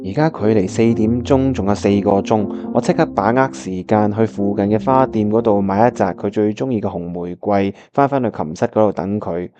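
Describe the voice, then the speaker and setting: A man's voice: on the low side, moderate pace, few pauses, lightly acted. An actor with a Hong Kong Cantonese accent playing a lovestruck, scheming young man who narrates a radio drama in colloquial, earnest style over music. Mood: reflective storytelling. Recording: dull lo-fi audio with music behind.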